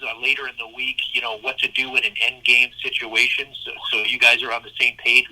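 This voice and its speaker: A man's voice: medium pitch, bright, forceful tone, low-quality recording. A man asking questions as a sports reporter on a press conference call.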